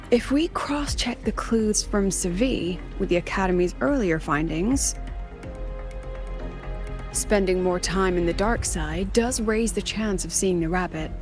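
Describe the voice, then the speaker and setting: A shrewd academy scholar in a game, composed and thoughtful. She explains in measured, poised phrases at an ordinary female pitch. Music is clearly audible behind her. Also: a little mellow. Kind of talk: video game dialogue acting.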